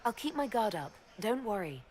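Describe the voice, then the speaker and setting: An actress playing a composed, diplomatic heroine and leader in a video game, measured, polite and assured. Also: female voice, mid-range voice, sing-song, a little mellow, slight background noise, lightly acted.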